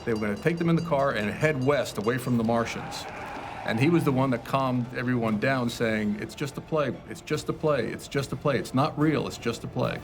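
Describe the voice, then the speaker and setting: A man's voice: medium pitch, fairly quick. A man giving a casual recollection as a local interviewee. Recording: outdoor traffic noise behind.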